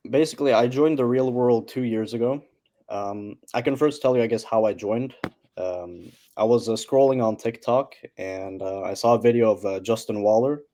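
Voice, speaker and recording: Mellow low-voiced teenage guy. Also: normal speed. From Norway. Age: teens. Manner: hesitant, many fillers. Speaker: guest. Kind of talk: casual interview chat.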